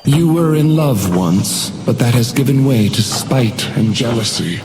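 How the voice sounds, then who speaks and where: A supernatural hotel doorman from a video game, played by a man, speaks with oily, theatrical politeness and veiled menace. His mid-pitched voice swoops widely from phrase to phrase, over prominent music. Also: expressive, unhurried, lightly acted. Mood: teasing, insinuating. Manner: theatrical, unctuously polite, insinuating. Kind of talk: scripted video game character lines.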